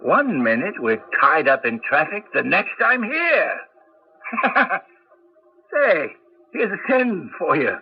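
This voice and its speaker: Hurried, fretful doctor, radio play. Higher register, flustered stammer, muffled broadcast recording. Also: male voice, very animated, heavily acted. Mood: startled, amazed. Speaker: radio drama actor. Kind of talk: 1980s radio drama acting. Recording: dull, noisy old radio broadcast.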